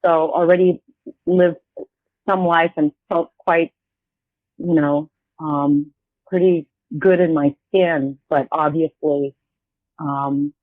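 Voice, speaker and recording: A woman's voice: deep voice, fairly even intonation, slow pace, long pauses, dry recording. A woman in her fifties, a guest in a casual interview, talking in a rambling, hesitant way with many restarts over a tinny phone-like line. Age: 50s.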